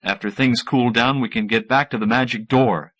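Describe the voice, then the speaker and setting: A man's voice: medium pitch, steady tone, normal speed, fairly bright tone, natural voice. A man voicing an impatient, sardonic male scientist, the hero of an audiobook novel, in a direct manner. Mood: brisk.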